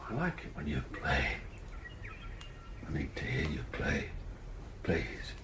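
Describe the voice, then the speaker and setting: An actor playing an earnest, lonely, restless backwoods settler, speaking in a halting, reflective way in an audio drama. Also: male voice, extremely low pitch, echoey room, background noise, full character voice. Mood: pleading, earnest.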